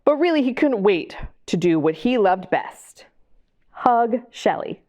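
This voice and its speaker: A woman's voice: on the high side, measured pace. Woman reading animatedly to young children. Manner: animated, addresses young listeners with questions. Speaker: library storytime host and reader. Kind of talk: children's picture-book read-aloud with chatty asides.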